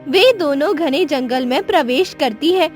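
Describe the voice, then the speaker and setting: A woman's voice: sits high, animated, a little slow, soft background music. A woman narrating a children's tale as storyteller, with dramatic scripted delivery.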